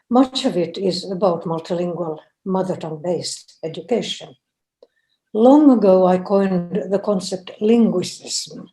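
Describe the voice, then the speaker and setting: A woman, giving a careful, deliberate academic lecture over a video call. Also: medium pitch, warm tone, low-quality recording. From Finland. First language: Finnish and Swedish. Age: 80s. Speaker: guest lecturer.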